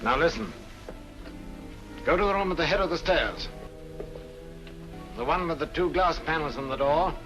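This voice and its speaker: An actor playing a cool, smug and ruthless criminal mastermind, sardonic and commanding, in 1940s mystery film style. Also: male voice, on the high side, lively intonation, normal speed, long pauses, music in the background, natural voice, low-quality recording. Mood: menacing.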